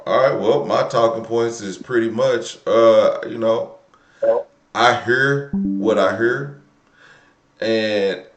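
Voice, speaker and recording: A man's voice: deep voice, fairly bright tone. An American man hosting a live call-in show, talking off the cuff in casual slang and repeating himself as he thinks aloud. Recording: roomy, echoing room sound.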